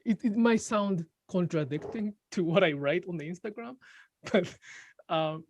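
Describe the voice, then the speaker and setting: A man with a Japanese accent, a native Japanese speaker, talking about stitching in a casual group conversation, pausing to find words and often laughing. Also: sits high, darkish tone, highs cut off, slight background noise. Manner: thoughtful, pauses to search for English words, laughs often. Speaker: sashiko teacher.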